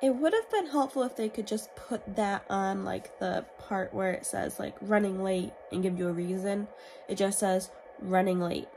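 A woman's voice: mid-range voice, moderate pace, creaky, a little mellow, a little hiss or hum, rough recording. A young woman vlogging at home with her phone at arm's length, chatty and upbeat while getting over a cold. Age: young adult. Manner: chatty, upbeat morning updates. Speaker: family vlogger. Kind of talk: casual vlog to camera. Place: home living room, indoors. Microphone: phone held at arm's length.

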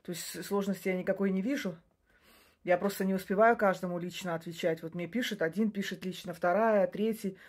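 A woman's voice: lowish voice, steady tone, a little fast, a little mellow. A woman in her forties, a native Russian speaker, talking casually to her phone camera in a small indoor room. She rambles, restarts sentences and laughs briefly between points. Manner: chatty, rambling, frequent short laughs and restarts. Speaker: social media creator. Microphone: phone camera close up.

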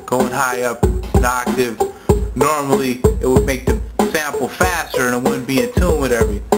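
A man's voice: mid-range voice, normal speed. A man giving an informal, slangy hands-on music gear tutorial, talking casually as he demonstrates. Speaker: tutorial presenter. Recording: music and sampler sounds behind voice. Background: sampled music loops and instrument sounds.

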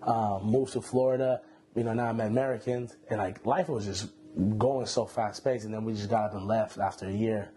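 A man in his twenties from Iran, a guest telling his story in a relaxed, slangy way with many fillers, seated indoors on a lapel mic. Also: lowish voice, fairly quick, a little hiss or hum. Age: 20s. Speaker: interview guest. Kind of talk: casual interview. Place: indoor room, seated on a couch.

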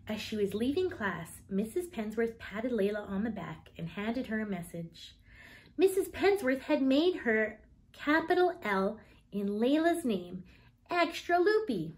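A woman in her thirties reads a picture book aloud to children. Her voice sits fairly high and moves with very animated intonation. The delivery is expressive and emphatic, in a storyteller's style. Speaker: teacher reading aloud.

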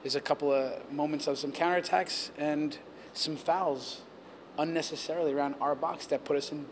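A man in his forties, a head coach answering post-game questions at reporters' handheld mics, talking in a measured, earnest way with many restarts. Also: on the high side, fairly even intonation, slight background noise. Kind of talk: post-game press interview. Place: press backdrop area, indoors. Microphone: handheld reporter mics held close.

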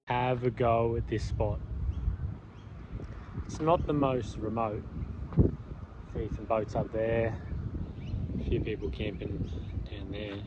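A man's voice: on the low side, steady tone, slow, long pauses. An Australian man chatting casually through a solo camping and touring vlog, laid-back and rambling. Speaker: touring vlogger. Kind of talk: casual travel vlog narration. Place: outdoors at a campsite and in a car. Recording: dull lo-fi sound with clear outdoor and engine noise.

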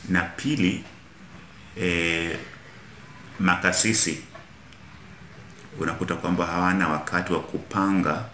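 A middle-aged Kenyan man, an Anglican bishop, answering interview questions in a measured way in a small office, often repeating words as he searches for them. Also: sits low, fairly even intonation, bright, forceful tone, a little hiss or hum. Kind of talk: interview answers mixing Swahili and English. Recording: echoey office room.

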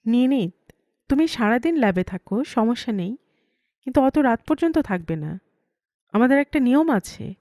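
A female head of a college department played by a woman storyteller, calm and restrained. She speaks with measured firmness in an audio story, with a dry, echo-free sound. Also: fairly high pitch. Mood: calm reproof.

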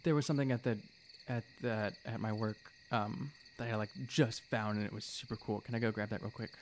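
A man's voice: lowish voice, steady tone, a little fast, a little mellow, a little hiss or hum. A young man in casual improvised roleplay at a tabletop game, hesitant, on a studio microphone. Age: young adult. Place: small studio room indoors. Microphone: microphone on stand.

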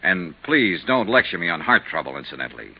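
Hard-boiled investigator, muffled old radio. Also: male voice, deep voice, very animated, fast, husky, heavily acted. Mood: firm. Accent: American. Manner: clipped, probing, unruffled. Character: tough, persistent male insurance investigator, dry and businesslike. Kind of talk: 1950s radio detective acting and narration. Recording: muffled lo-fi 1950s radio recording.

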